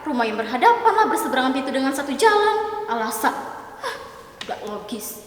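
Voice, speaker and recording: Woman playing a stubborn young bride-to-be, high voice, pushy and teasing, echoey hall. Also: sing-song, a little fast, far from the mic. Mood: insistent. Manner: insistent, teasing, emotional. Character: headstrong young woman pushing to marry. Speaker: dramatic reader. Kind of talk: staged dramatic reading. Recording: echoey hall with long reverb.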